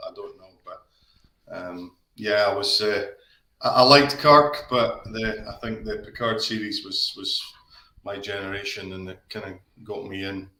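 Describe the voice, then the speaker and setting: A man's voice: on the low side, steady tone, measured pace, bright, forceful tone, roomy sound, rough recording. A man in his fifties with an Aberdeen Scottish accent, chatting as a guest on a live stream, rambling with lots of restarts and fillers. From Scotland. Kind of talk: casual live-stream chat. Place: home office. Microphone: video call.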